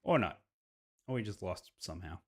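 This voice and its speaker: A man streaming a visual novel, talking casually and thinking aloud. His voice sits a little on the low side and moves around in pitch with lively swings. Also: normal speed, slightly rough. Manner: casual, reacting and musing aloud. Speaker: video game streamer. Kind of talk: game playthrough commentary, reading visual novel dialogue aloud.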